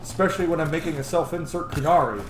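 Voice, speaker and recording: A man's voice: on the high side, quick pace. Rambling man gamer in an echoey room. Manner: rambling, sweary, self-joking. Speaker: video game streamer. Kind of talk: casual let's play commentary. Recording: echoey room with clear background noise.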